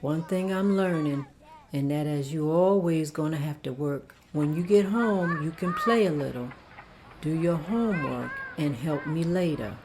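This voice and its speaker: A woman's voice: sits low, unhurried, slight background noise. An actress playing a loving, hardworking mother who encourages her young daughter, read at a table read. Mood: tender. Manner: tender and encouraging, calls out loudly when summoning.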